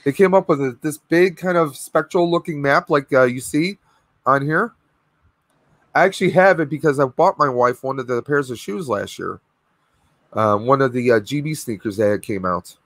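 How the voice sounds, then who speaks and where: A man in his thirties hosting a casual live stream from home, a bit hoarse and clearing his throat, talking on a video call in a rambling way. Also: medium pitch, normal speed, no room sound. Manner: chatty, rambling with fillers. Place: home room with collectibles, indoors. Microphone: webcam video call.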